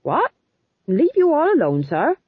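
A husky, dutiful sergeant from a comic storybook, acted out by a narrator, blunt yet deferential. His delivery jumps about in pitch, on a dry, slightly muffled recording. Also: expressive, moderate pace, no echo, lightly acted. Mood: hurt, pleading. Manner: blunt, deferential, eager to please. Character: loud, over-eager, dutiful army sergeant, man.

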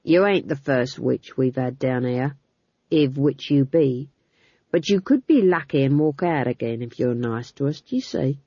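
A woman voicing a leering, coarse male dungeon guard who speaks in rough dialect. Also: medium pitch, lively intonation, unhurried, warm tone, no echo, muffled recording, pitched below the performer's own voice. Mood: leering, menacing. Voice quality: drawling, menacing, rough dialect.

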